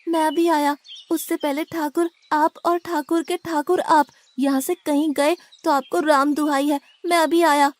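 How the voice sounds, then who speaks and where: A woman's voice: sits high, level tone, a little slow. A woman voicing a naive, blunt young male devotee in an acted Hindi devotional story. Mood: earnest, excited.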